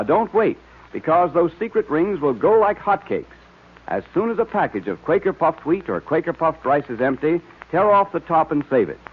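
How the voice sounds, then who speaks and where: An American man announcing on a 1940s radio show in an emphatic, enthusiastic sales style with a husky voice. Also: fairly high pitch. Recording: tinny vintage radio recording.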